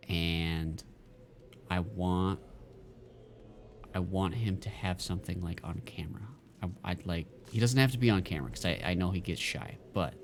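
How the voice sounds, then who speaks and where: A man's voice: low-pitched, slight background noise. A man streaming a game, chatting casually and rambling with lots of fillers and restarts. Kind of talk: casual chat while gaming.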